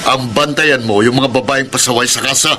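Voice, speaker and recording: A man's voice: medium pitch, brisk, close to an everyday voice. An actor playing a curt, determined man on a hunt, brusque and commanding, in a Filipino radio drama. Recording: noisy radio drama track with vehicle sounds.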